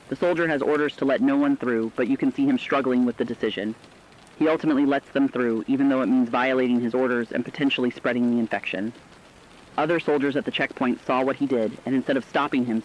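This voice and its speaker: A man's voice: medium pitch, lightly acted. A man playing a somber, compassionate radio commentator, speaking scripted reflections in an earnest way on a lo-fi broadcast. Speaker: radio co-anchor. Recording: dull lo-fi radio sound.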